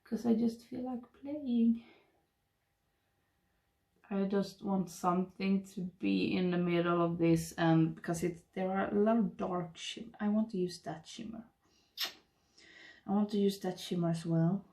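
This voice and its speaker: Swedish woman in her 30s, slow soft rambling makeup chat at her desk, webcam mic. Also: mid-range voice, steady tone. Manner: soft, rambling, murmuring while applying makeup. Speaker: beauty vlogger. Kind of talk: casual get-ready-with-me chat. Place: home makeup room, indoors. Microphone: webcam framing at desk.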